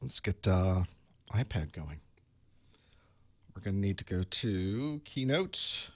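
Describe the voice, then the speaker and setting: A man with a hoarse voice from a cold, chatting casually to his live stream audience while he sets up for an online class. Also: deep voice, big pitch swings, slow pace, a little mellow, dry recording. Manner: chatty, wry, talks to himself while setting up. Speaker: online course instructor. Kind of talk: casual pre-show chat to a live stream. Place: small studio desk.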